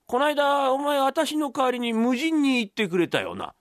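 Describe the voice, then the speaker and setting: A man performing rakugo as a gruff older merchant father with a Tokyo accent, brusque and commanding. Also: extremely high pitch, big pitch swings, moderate pace, no room sound, clean studio sound, voice pushed up. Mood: questioning, scolding. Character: stern, gruff older merchant father, doting but suspicious. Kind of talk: rakugo storytelling.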